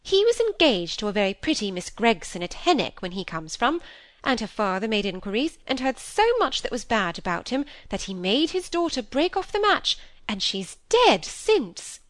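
A woman's voice: a little high, very animated, moderate pace, no echo, pitched above the performer's own voice. A woman voicing a stern, bossy older spinster in a storybook, speaking in an emphatic, sententious way. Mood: disapproving. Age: middle-aged or older.

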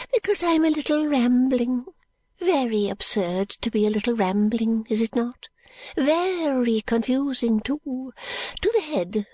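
A woman voicing a frail, rambling old woman who talks in high fluttering swoops and drops into secretive whispers. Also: warm tone, no room sound, exaggerated voice.

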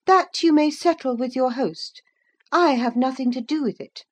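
A woman voicing a scornful, sharp-tongued young lady of the house in a classic novel, with a haughty manner. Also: high voice, normal speed, voice pushed up.

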